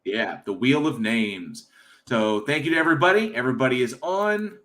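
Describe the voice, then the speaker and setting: A man's voice: mid-range voice, expressive, moderate pace, fairly bright tone. An American man hosting a casual livestream, rambling with many fillers and reading chat aloud from home. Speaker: livestream host.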